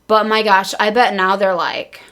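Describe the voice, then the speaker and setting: A woman's voice: mid-range voice, a little slow, a bit bright. A woman co-hosting a podcast, talking casually and emphatically as an opinionated fan. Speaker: podcast co-host. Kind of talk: casual chat about pro wrestling.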